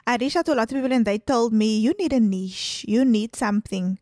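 Brief: woman, 30s, Afrikaans accent, bit high-pitched, candid podcast guest, dry studio sound. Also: brisk, no echo. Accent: South African, Afrikaans. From South Africa. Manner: candid, animated, mixes in Afrikaans. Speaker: guest influencer. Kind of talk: casual podcast interview. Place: small studio set with sofa. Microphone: boom mic on stand.

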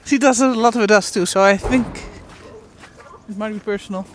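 A mid-pitched voice chats casually, as in a walking vlog. The vlogger is out in the open, with some faint outdoor noise around the speech. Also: fairly even intonation, normal speed. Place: outdoors walking in a snowy theme park.

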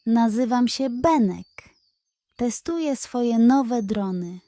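A woman's voice: a little high, expressive, slow, warm tone, dry recording. A woman voicing an eager, sheepish young male badger inventor in a children's bedtime story. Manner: enthusiastic, sheepish.

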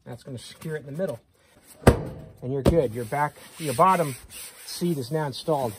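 Man, casual how-to host, plain-spoken, relaxed, outdoors, phone-recorded. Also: on the high side, normal speed, heavy background noise. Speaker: channel host. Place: outdoors beside a utility vehicle.

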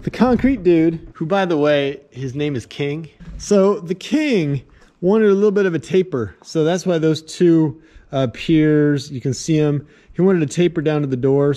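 A man's voice: a little high, warm tone. Laid-back man filming his own barn build. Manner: casual self-deprecating talk to camera. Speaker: channel host. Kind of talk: casual DIY build vlog. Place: outdoors and in an open-sided barn on a gravel site. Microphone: action camera held at arm's length.

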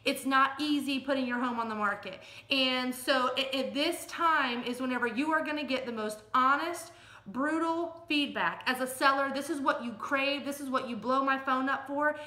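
Bright, high-pitched American woman, echoey room. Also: moderate pace. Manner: emphatic, folksy, plain-spoken. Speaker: real estate agent. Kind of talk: casual direct-to-camera advice. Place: small furnished room at home or office, indoors.

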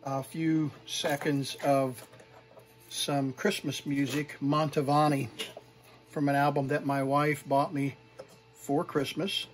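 Higher-voiced man giving a hands-on demo. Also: flat delivery, slow pace, low-quality recording. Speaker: vintage tape recorder collector and presenter. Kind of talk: unscripted hands-on demonstration.

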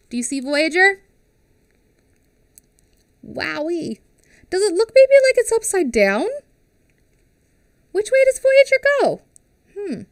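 A woman's voice: on the high side, no room sound. A woman in her thirties reads a picture book aloud to young children, her voice bounding up and down as she explains each page in simple words. She speaks into a headset mic in a small home studio, and the sound is close and dry. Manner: animated and expressive, explaining slowly to young kids. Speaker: teacher reading aloud to children.

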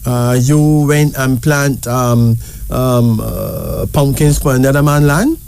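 A man's voice: medium pitch, takes it slowly, creaky, a little mellow, slight background noise. A man with a Barbadian accent reading cards for a caller in a casual chat, talking in a reassuring way with many tag questions. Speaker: card reader.

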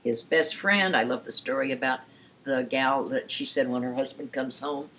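A woman's voice: very deep voice, moderate pace, fairly bright tone, rough recording. An older woman in casual chat as co-host, telling frank stories with theatrical flair. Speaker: sex educator co-host. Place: indoors before a paper screen.

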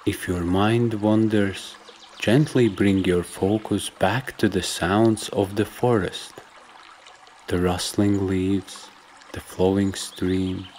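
A man with a deep voice guides a meditation from a script. He speaks very slowly and softly, in measured instructions with room between phrases. A faint trickle of stream water runs behind him. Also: steady tone, a little hiss or hum. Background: trickling stream and nature ambience.

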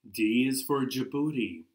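A man's voice: medium pitch, a little hiss or hum. A middle-aged man hosting a children's storytime with rhythmic, playful delivery. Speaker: library storytime host. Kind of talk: children's songs and picture-book reading. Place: living room at home.

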